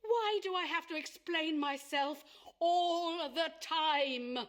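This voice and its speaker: A woman's voice: extremely high pitch, slow pace, fairly bright tone, full character voice. A woman acting an indignant, stubborn middle-aged mother in a radio play, protesting emphatically and dramatically. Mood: defiant. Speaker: actor.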